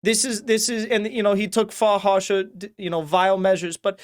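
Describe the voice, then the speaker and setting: A man parodying a shrill, sarcastic pro-war woman activist with a put-on Slavic accent. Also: little pitch movement, fairly quick, lightly acted. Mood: mocking.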